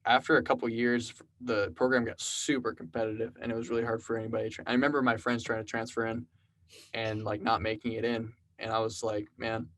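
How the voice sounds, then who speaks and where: Relaxed young Texan man, rambling. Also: medium pitch, fast. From South Texas. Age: 20s. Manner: relaxed, rambling storyteller with many fillers. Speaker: podcast guest, programmer and musician. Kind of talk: casual conversational interview.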